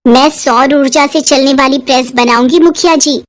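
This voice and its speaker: A woman's voice: high-pitched, steady tone, moderate pace, dry recording, studio quality, natural voice. A woman voicing a poor village schoolgirl in a moral story, polite, earnest and eager. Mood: earnest, respectful.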